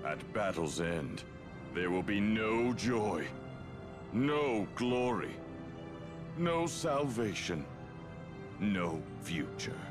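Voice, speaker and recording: A sinister male spirit of chaos from a video game. His low voice stretches each phrase very slowly, gloating with theatrical menace. Music plays prominently behind him. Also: lively intonation. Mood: grand, gloating. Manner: theatrical, drawn-out, ominous. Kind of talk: dramatic video game cutscene acting. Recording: loud background music.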